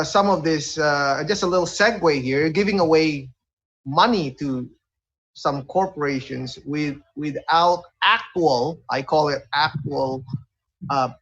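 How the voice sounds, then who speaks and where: A man hosting a conversational radio interview, speaking haltingly and repeating words as he looks for phrasing. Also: a little high, a bit bright, slightly rough. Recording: dull, lo-fi audio.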